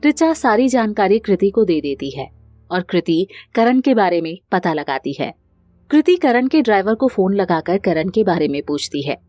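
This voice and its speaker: A woman's voice: medium pitch, very animated, moderate pace, darkish tone, no room sound, soft background music. A woman narrating a scripted family story as the storyteller.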